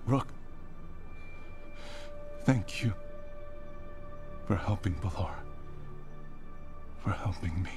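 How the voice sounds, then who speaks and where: Slow, weary dying brother. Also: male voice, low voice, expressive. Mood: weak, remorseful. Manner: halting, faint, solemn. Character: weary, dying, remorseful brother in a fantasy tale. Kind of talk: fantasy video game acting. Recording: music behind the voice.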